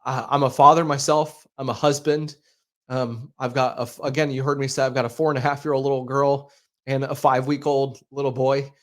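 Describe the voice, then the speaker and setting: An American man in his thirties hosting a livestream, making an earnest, halting appeal to viewers with many fillers and repeated words. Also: medium pitch. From United States. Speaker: livestream host. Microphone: speaking into a microphone.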